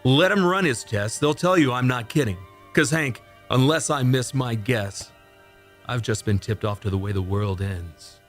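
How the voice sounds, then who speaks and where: A man acting in an old-time radio drama as a shaken, insistent rocket test pilot, speaking urgently and haltingly. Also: medium pitch, soft background music, lightly acted. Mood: uneasy, defensive.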